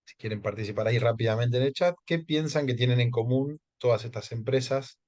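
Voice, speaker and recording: A man's voice: mid-range voice, fairly quick, darkish tone. A man from Argentina with an Argentine accent, presenting a live webinar, speaking conversationally with frequent hesitations and questions to the audience. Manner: conversational, hesitant with many fillers, keeps asking the audience.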